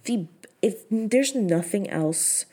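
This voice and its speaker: A woman's voice: medium pitch, expressive, takes it slowly, warm tone. A woman, hosting her own podcast in frank, profane, filler-heavy casual chat. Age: 30s. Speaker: podcast host.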